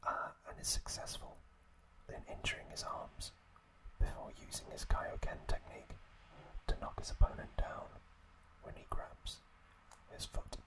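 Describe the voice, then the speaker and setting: A man hosting ASMR, narrating in a hushed whisper with drawn-out phrasing, close to the microphone. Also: poor audio. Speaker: ASMR host.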